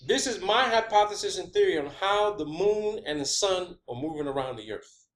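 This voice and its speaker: A man's voice: sits high, expressive, moderate pace, low-quality recording. A man giving an informal opinion monologue as a video host, emphatic and combative, often swearing. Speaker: video host presenting own theory.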